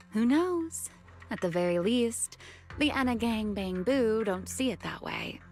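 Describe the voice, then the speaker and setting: A woman's voice: on the high side, expressive, a little mellow, faint music behind. A woman playing a teasing, secretive, long-lived huntress in a video game, coy and poised. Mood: amused, cryptic. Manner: coy, playful, unhurried teasing. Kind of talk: video game story voice acting.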